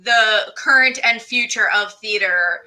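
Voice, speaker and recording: A woman's voice: fairly high pitch, measured pace, sharp tone, rough recording. A woman hosting a casual, conversational interview in a gushing, encouraging way. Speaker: interview host.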